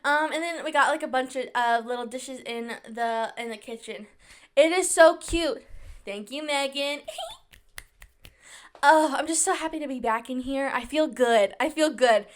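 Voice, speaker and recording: A woman's voice: high voice, normal speed. A young woman hosting her podcast in casual chat, bubbly and chatty, often saying "like," and a little under the weather with allergies. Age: young adult. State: slightly sick with allergies. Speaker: podcast host.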